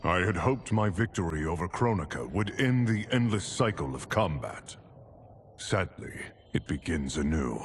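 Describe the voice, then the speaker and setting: A grave, deep-voiced warrior god. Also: male voice, animated, moderate pace, a little hiss or hum. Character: solemn male fire god warrior, weary of endless battle. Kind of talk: video game cutscene acting. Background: game score.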